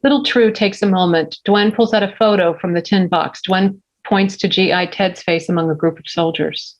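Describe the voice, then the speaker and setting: A woman reading the stage directions of a script at a table read, in a steady, plain way. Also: on the low side, normal speed, highs cut off.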